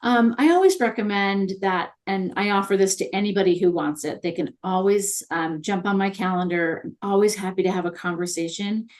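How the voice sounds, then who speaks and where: A woman's voice: medium pitch, fairly quick, few pauses. A woman guest in an interview, talking in a chatty, upbeat way with many fillers. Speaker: guest consultant on virtual assistants. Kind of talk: conversational interview.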